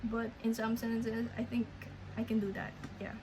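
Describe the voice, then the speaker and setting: Slow, rambling Filipino-accented young woman. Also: a little high, flat delivery. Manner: slow, rambling, hesitant with many restarts. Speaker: language learning vlogger. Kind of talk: casual update vlog. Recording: clear background noise.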